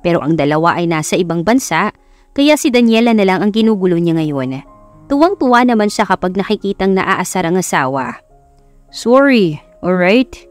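A woman's voice: fairly low pitch, expressive, brisk, no room sound. A woman with a Filipino accent reading a scripted romance as a dramatic audiobook narrator. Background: background music.

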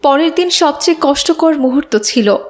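A woman's voice: sits high, animated, moderate pace. A woman narrating a moral cartoon story in a scripted storytelling style.

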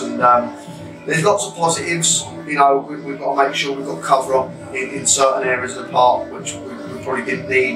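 A man in his thirties with a London accent talks through a match in a small changing room. He speaks in a flat, even tone. The voice is heard from some way off the mic and rings around the small room. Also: mid-range voice, roomy sound, distant mic, poor audio. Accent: London English. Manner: measured, reflective, many fillers and restarts. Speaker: football manager. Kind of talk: post-match interview. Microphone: camera mic at a few metres.

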